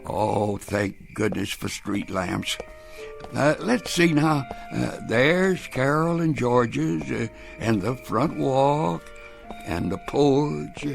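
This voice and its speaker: A man's voice: mid-range voice, animated, slow, creaky, soft background music, exaggerated voice. An actor playing an anxious, fussy old grandfather in a radio drama, stammering and muttering as he goes. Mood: muttering to himself. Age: older. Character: anxious, doddering old grandfather devoted to his antique clock.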